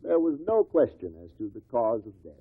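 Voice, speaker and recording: An actor playing an official, plain-spoken coroner who formally explains matters to a jury in a 1950s radio drama. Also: male voice, medium pitch, big pitch swings, brisk, slightly rough, no room sound. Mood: official, matter-of-fact. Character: brisk, official male coroner presiding over an inquest. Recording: tinny, dry old radio recording.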